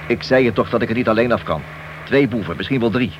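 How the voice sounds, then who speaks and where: A man's voice: mid-range voice, fast, dull audio, natural voice. An actor playing an ambitious, glory-seeking policeman in a radio play, insistent and persuasive. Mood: urgent, scornful. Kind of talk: radio play dialogue acting. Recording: old radio recording with hiss.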